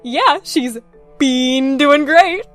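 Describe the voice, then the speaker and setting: A woman's voice: high voice, extremely slow, a bit bright, faint music behind, full character voice. A woman voicing a friendly, eager young animal in a cartoon, perky and chatty. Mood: bubbly, eager.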